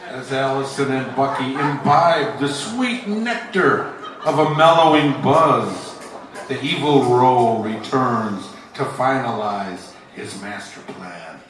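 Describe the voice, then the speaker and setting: A man's voice: mid-range voice, slow pace, background noise, natural voice. A man playing a grandiose 1940s radio announcer in a parody of old-time broadcasts. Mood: ominous. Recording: echoey distant sound, music underneath.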